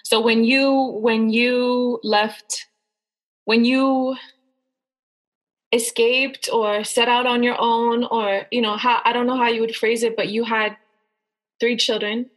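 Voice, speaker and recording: Higher-pitched woman, informal interviewer. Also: level tone. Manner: informal, halting, rephrases questions mid-thought. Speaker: host, interviewer. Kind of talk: casual conversational interviewing.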